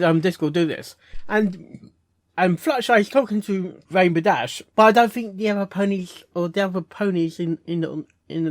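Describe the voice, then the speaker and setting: A man giving casual, unscripted reaction commentary as a host, halting and rambling, often repeating words and phrases. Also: a little high, dry recording, studio quality. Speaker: host reviewing fan fiction readings.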